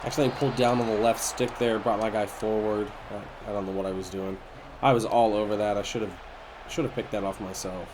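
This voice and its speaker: A man with a raspy, nasal, congested voice giving casual commentary on a video game as host. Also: lowish voice, background noise. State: congested after being sick.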